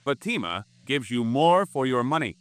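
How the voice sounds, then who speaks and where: A man's voice: mid-range voice, very animated, moderate pace, no echo. A man announcing in a declarative, emphatic 1950s radio style.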